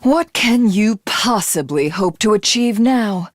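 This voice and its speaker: A haughty alien villainess for a video game. Her commanding, theatrical voice swings widely in pitch and takes its time over each grandiose, taunting phrase. The recording is close and dry. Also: expressive, no room sound. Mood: smug, menacing. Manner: imperious, grandiose, taunting, drawn-out delivery. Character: haughty, ruthless alien villainess craving godhood.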